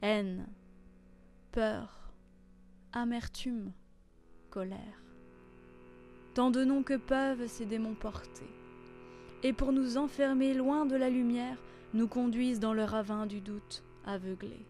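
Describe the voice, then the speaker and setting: A woman reciting a poem in a measured, theatrical and solemn manner. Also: on the high side, fairly even intonation, dark tone. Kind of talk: dramatic poetry recitation. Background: instrumental music.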